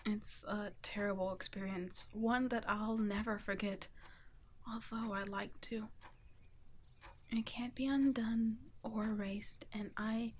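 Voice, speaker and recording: A gentle female vampire acted by a woman speaks very slowly in a soft, breathy half-whisper. She is protective and weighed down by guilt, halting and tender, in an intimate ASMR roleplay. Faint ambient noise sits behind her voice. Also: medium pitch, steady tone, lightly acted. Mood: grave, regretful. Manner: slow, hushed, halting, tender.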